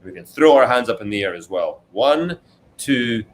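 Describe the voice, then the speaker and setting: A man's voice: medium pitch, lively intonation, moderate pace. A man reading a children's book aloud as its author, expressively, with simple explanatory asides to young listeners. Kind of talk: read-aloud storytelling for children, interactive.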